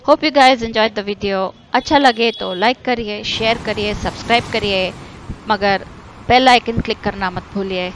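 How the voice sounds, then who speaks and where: A woman's voice: mid-range voice, unhurried, fairly bright tone, slight background noise, poor audio. A woman narrating a travel vlog as host in a chatty, enthusiastic way, switching between Hindi and English. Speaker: vlogger host. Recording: voice-over with clear background music.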